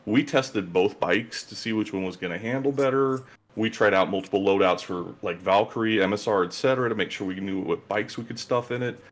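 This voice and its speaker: A man's voice: fairly low pitch, a little fast. A man guest in a casual interview, talking earnestly and gratefully about his gaming group.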